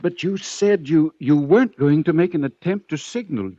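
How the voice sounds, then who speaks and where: An actor playing a frail, dignified old professor in a 1940s radio drama, speaking hesitantly and earnestly. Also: male voice, fairly high pitch, normal speed, warm tone, dry recording, lightly acted. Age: older. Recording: muffled old radio recording.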